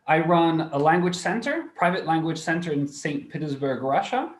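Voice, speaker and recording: A man from Belgium introducing himself on an online panel as the head of a language center, enthusiastic, with some restarts. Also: on the high side. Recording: echoey room.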